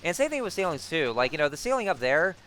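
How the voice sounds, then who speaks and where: A man's voice: on the high side, big pitch swings, fast talker, bright, forceful tone, slight background noise. A young man giving casual gameplay commentary, rambling with lots of fillers and restarts. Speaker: let's play commentator. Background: game music.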